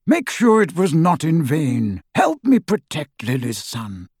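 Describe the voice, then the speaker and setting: Audiobook role: cool, grave old wizard man performing, reproving yet composed, breathy-rough voice in a dry booth. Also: fairly high pitch, huge pitch swings, dry recording, voice pushed up, exaggerated voice. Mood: solemn, urging. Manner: measured, cool, gently reproving. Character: calm, stern, wise old male headmaster wizard.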